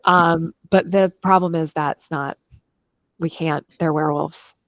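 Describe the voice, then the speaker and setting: A woman's voice: low-pitched, fairly even intonation, measured pace, fairly bright tone, no room sound. A woman co-hosting a book club podcast, moving between casual, opinionated chat and read-aloud chapter summaries with dry humor and easy swearing.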